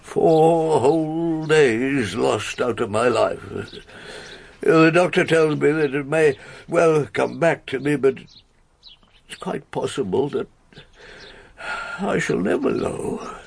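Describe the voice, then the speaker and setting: An elderly English clergyman in a radio drama, muddled and genteel. His husky, creaky voice dithers slowly and apologetically, stammering as it goes. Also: fairly high pitch, exaggerated voice. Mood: weary, rueful. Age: older. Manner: hesitant, stammering, genteel and flustered.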